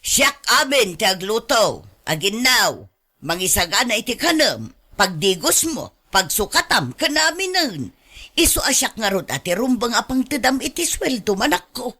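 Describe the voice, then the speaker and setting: A woman's voice: fairly high pitch, very animated, moderate pace, pushed and bright, exaggerated voice. A woman with an Ilocano Filipino accent playing a possessive, money-grasping older mother in a radio drama, insistent and indignant.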